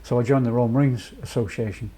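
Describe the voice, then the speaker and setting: A man from North Wales in his late fifties, a charity chief executive giving a measured, reflective personal account with many pauses. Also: medium pitch, fairly even intonation, mellow. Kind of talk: personal testimony to camera.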